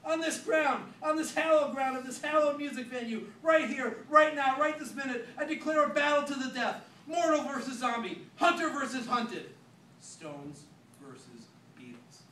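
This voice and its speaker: A man's voice: extremely high pitch, lively intonation, normal speed, bright, forceful tone, pitched above the performer's own voice, heavily acted. A man voicing a pompous, bossy male rock singer who hunts zombies. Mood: bellowing. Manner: grandiose, bossy declarations. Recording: roomy, distant, noisy recording.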